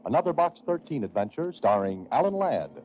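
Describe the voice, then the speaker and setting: A man's voice: mid-range voice, sing-song, fairly quick. A man announcing a radio drama in a 1940s broadcast style. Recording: muffled old radio recording, loud music behind.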